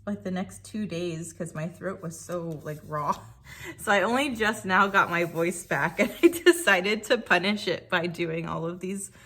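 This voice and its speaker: A woman with a slightly croaky voice, chatting casually and thinking aloud while she works on a scrapbook page. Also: lowish voice, unhurried, pushed and bright. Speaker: scrapbooking creator. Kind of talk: casual crafting commentary.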